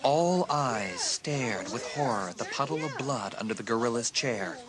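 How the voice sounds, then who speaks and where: A man's voice: medium pitch, expressive, moderate pace, a loud crowd. A man reading a dramatised horror audiobook as a scripted narrator.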